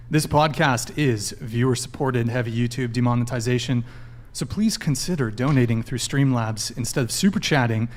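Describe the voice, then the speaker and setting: Man, podcast host, brisk, joking, mid-pitched voice. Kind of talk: livestream podcast announcements.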